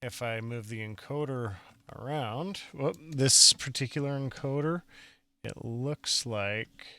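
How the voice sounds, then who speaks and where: A man demonstrating music software in a casual step-by-step tutorial, explaining as he goes and checking in with the viewer. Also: medium pitch, slow, dry recording.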